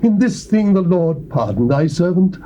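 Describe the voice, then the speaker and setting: An English actor playing a proud, grateful Syrian army captain, speaking in a declamatory, emphatic way on a lo-fi tape. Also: male voice, high voice, animated, normal speed, warm tone, slight background noise. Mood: humble. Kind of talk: theatrical audio Bible drama. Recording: dull lo-fi tape.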